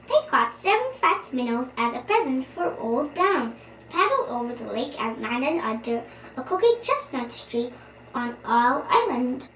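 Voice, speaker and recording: A child reading a storybook aloud for young listeners as host, in an expressive, playful manner. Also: high voice. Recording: roomy, distant mic, faint noise.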